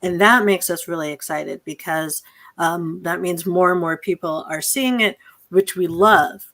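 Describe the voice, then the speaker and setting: A woman's voice: fairly low pitch, a little slow, fairly bright tone. Woman hosting an online discussion. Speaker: theater moderator and host. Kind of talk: live question-and-answer discussion. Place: online video call.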